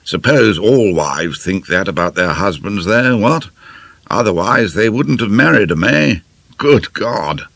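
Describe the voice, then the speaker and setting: A man voicing a loud, silly upper-class Englishman who keeps tacking "what?" onto his sentences. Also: fairly low pitch, animated, measured pace, vocal fry, no room sound, voice pushed up, full character voice. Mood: blustering. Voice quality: braying, ends lines with "what?".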